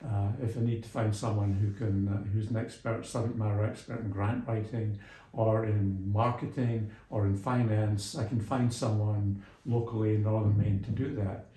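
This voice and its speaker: Mature man, low mellow voice, roomy sound. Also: fairly even intonation, normal speed. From Scotland and England. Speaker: interview guest, small business mentor and executive coach. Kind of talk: conversational radio interview.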